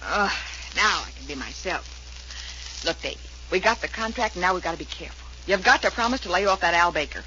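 A woman's voice: fairly low pitch, animated, brisk, sharp tone. A muffled, hissy vintage radio recording of a brassy young American showgirl. She is slangy and scheming, with airs and a voice that swoops up and down. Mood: urgent, worried. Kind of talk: 1940s radio crime drama acting. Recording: muffled 1940s radio recording, noisy hiss.

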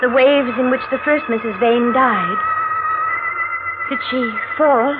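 A tinny vintage radio recording with music behind presents an anxious young bride. Her voice sits fairly high, and she speaks earnestly and hesitantly, devoted yet full of doubt, in old radio-play style. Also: slow pace. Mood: uneasy. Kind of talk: 1940s radio drama acting.

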